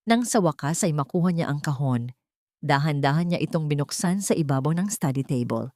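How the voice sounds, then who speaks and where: A woman narrating an audio drama in a measured, dramatic way, rising on tense moments. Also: sits low, expressive, dark tone, no room sound, studio quality.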